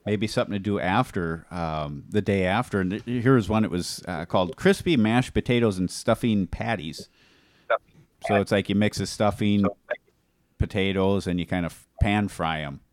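Low-voiced rambling male podcast host. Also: dry recording. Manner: rambling, halting, joking. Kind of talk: casual chat.

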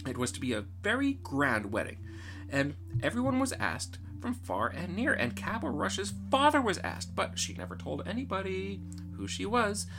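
A man's voice: sits high, huge pitch swings, normal speed. A man narrating a storybook tale in a playful, theatrical way, with music behind him.

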